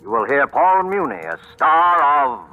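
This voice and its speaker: American radio announcer over loud music. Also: male voice, on the high side, sing-song, unhurried. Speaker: program announcer. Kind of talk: scripted 1940s radio announcing. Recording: muffled vintage radio, loud music behind.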